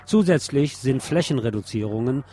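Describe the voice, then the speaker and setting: A man presents the news on a radio show. He reads reports in a mid-pitched voice and slips in sardonic, opinionated asides between items. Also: expressive, normal speed, mellow. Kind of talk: news reading with opinionated commentary.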